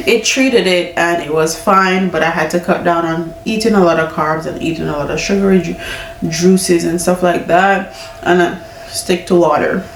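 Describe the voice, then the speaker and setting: A woman's voice: fairly low pitch, fairly even intonation, normal speed, a little hiss or hum. Stuffy-nosed woman chatting candidly at home. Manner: candid, chatty, laughing often. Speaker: vlogger. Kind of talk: casual pregnancy chat. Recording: echoey room, mic at a distance.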